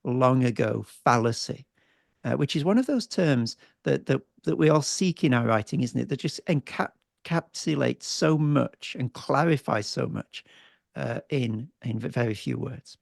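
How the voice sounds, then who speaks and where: A man introduces a guest speaker at an academic seminar, full of praise and stopping often on fillers. His voice is gravelly and the sound is dry, heard over a video call. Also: medium pitch, expressive, moderate pace, no room sound. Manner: warm praise, many fillers and restarts. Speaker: seminar host.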